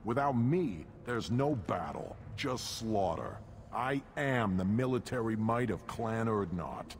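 Grumbling reptile mechanic, sardonic, deep gravelly voice, boastful, game dialogue. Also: male voice, sing-song, takes it slowly, slight background noise, full character voice. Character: proud, grumbling reptilian alien war mechanic.

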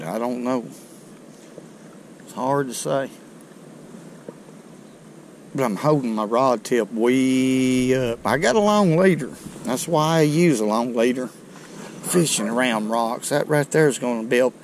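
A middle-aged man, chatting to viewers in a folksy, excited way while fishing from a riverbank, recorded on a chest-mounted camera outdoors. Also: mid-range voice, unhurried, pauses often, a little hiss or hum. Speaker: fishing video host. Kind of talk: casual outdoor commentary. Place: outdoors on a rocky riverbank below a dam. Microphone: chest-mounted action camera.

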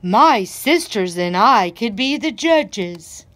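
A kindly, sensible storybook cow, voiced by a woman reader. She speaks with gentle authority at a slow, measured pace, in a middle-pitched voice. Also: lively intonation. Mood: suggesting. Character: wise, kindly female farm cow in a storybook.

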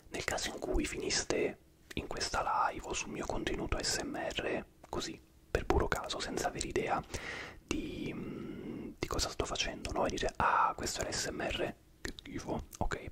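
A man whispering throughout as he hosts an ASMR live stream, chatting and rambling to viewers by name.

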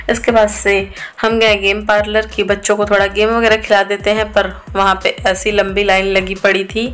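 A woman's voice: medium pitch, fairly bright tone. A woman in her thirties from India narrating her family's day out in a casual vlog voice-over, chatty and storytelling. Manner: chatty, storytelling, filler-heavy. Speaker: vlogger.

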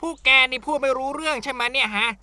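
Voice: high voice, steady tone, fast talker, bright, forceful tone. A voice actor playing a boastful, bossy male frog who claims to own the pond. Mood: threatening. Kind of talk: cartoon fable voice acting.

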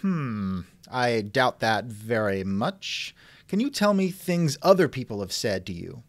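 Wry man with lively pitch swings. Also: a little slow, dry recording. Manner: wry, skeptical. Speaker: interviewer, host. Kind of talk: reenacted text-chat interview, conversational.

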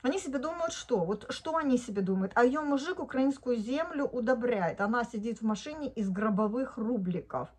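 A woman in her fifties, originally from Odesa, vents in a chatty home video diary. Her voice sits a little high. She is recorded indoors in a living room on a phone held close. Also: expressive, fairly quick, few pauses, a little mellow, slightly rough. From Odesa, Ukraine. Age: 50s. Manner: chatty and indignant, bursts into laughter. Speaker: vlogger. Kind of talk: casual video diary monologue. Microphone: phone camera at arm's length.

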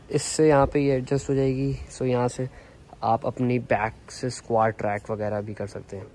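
Young man giving a flat, chatty gym tour. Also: medium pitch, a little hiss or hum. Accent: Indian English. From India. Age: young adult. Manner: chatty, mixing Hindi and English. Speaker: fitness YouTuber. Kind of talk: casual home gym tour. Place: sunny rooftop terrace.

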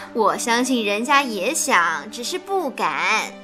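A kindly, knowing woman confidante in a radio drama. She speaks in a coaxing way with a fairly high, very varied pitch over faint music. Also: big pitch swings, slow pace. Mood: gently teasing. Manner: coaxing, reassuring.

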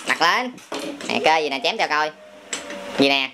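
A man's voice: a little high, lively intonation, a little slow. A man with a southern Vietnamese accent chatting casually and playfully with his young son, often mouthing sound effects. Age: adult. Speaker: father playing with his young son on a family toy channel. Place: small tiled room indoors. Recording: noisy home camera recording, plastic bricks clicking.

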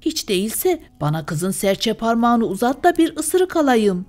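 A woman's voice: big pitch swings, a little slow, darkish tone. Woman playing a wicked old witch mother, scolding. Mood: hungry bargaining. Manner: shrill scolding.

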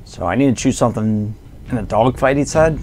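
A man's voice: medium pitch, fairly quick, a little mellow, a little hiss or hum. A man giving light, casual commentary as he plays a video game, laid-back and half talking to himself. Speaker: gaming video host.

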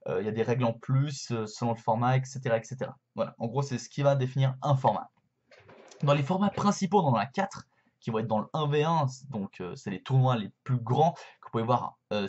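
A man's voice: medium pitch, darkish tone, lo-fi sound. A young man in his twenties chatting casually to a webcam from his bedroom, explaining a card game with lots of hesitations and fillers. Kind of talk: casual explainer vlog to camera. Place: bedroom with shelves, indoors.

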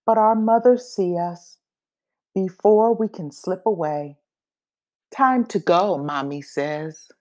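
A woman reads a children's story aloud in a fairly low voice. She takes it very slowly, pausing between phrases. Her delivery is expressive, made for young listeners. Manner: expressive, acts out dialogue and pauses between phrases. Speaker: storybook reader. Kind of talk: children's picture book read-aloud.

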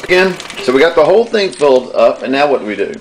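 A man filming in a home kitchen, gently coaching and asking playful questions from behind the camera. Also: medium pitch, sing-song, quick pace, noisy background. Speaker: parent filming a child's cooking show. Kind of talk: casual home video chat. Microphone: handheld camcorder mic, off screen behind camera.

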